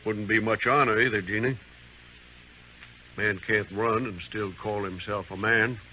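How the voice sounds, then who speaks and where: An actor playing a proud, resigned blacksmith in an old-time radio western, grave and plain-spoken. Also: male voice, on the low side, fairly even intonation, measured pace, lightly acted. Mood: stubborn. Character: proud, resigned frontier blacksmith facing a fight. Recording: muffled, noisy vintage radio recording.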